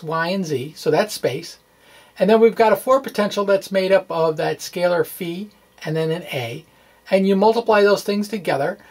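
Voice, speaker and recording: A man giving an informal explanatory lecture in a chatty, folksy way, with wry asides and a halting delivery. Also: high voice. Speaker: physics blogger presenting a lesson. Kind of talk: informal explanatory lecture, dramatic blog reading.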